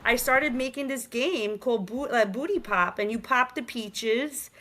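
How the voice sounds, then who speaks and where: A woman's voice: on the high side, a little slow. A young woman from New York with a New York accent, talking casually as a guest about her game, streetwise and full of fillers. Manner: energetic, streetwise, many fillers like "like" and "you know". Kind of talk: casual interview chat. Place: virtual talk show, remote.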